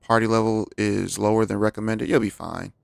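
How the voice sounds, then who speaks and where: A man's voice: on the low side, steady tone, fairly quick, gravelly, clean tone. A man giving casual gameplay commentary as a streamer, thinking aloud as he goes. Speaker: game streamer.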